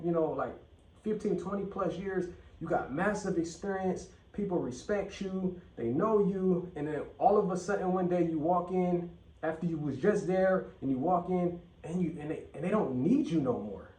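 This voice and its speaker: A man's voice: high voice, moderate pace, darkish tone. Blunt man, echoey and distant. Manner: blunt, profane, repeats phrases for emphasis. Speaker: channel host. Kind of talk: casual vlog rant. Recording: echoey room, mic at a distance.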